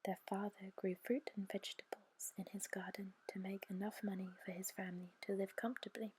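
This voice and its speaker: A woman reads a bedtime story in a gentle, soothing ASMR whisper, breathy and measured, close to the microphone. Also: mid-range voice, flat delivery, a little hiss or hum.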